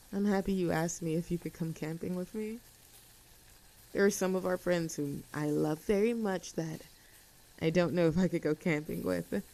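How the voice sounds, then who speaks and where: A woman's voice: fairly low pitch, vocal fry, warm tone, low-quality recording. Woman acting a casual, cheerful tomboy camping friend. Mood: soft, sincere. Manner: casual, chatty, giggly, affectionate. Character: cheerful easygoing young tomboy friend with a secret crush, camping. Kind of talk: roleplay audio drama, intimate one-sided conversation.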